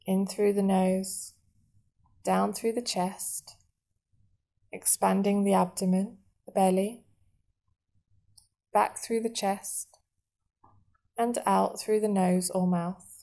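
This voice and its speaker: A woman guiding a meditation in a soothing, measured manner, leaving long pauses between short phrases. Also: medium pitch, level tone, vocal fry, warm tone. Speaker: meditation guide. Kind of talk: guided body scan meditation narration.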